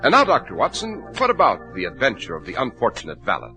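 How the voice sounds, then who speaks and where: Very animated American radio announcer man. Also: medium pitch, fast talker, over music, low-quality recording. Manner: polished, salesmanlike. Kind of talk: 1940s radio announcing and sponsor pitch.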